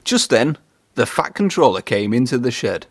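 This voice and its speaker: A man narrates a children's story with very animated intonation. His delivery is expressive, in a close, dry recording. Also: mid-range voice, normal speed, dry recording. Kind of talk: children's read-aloud narration.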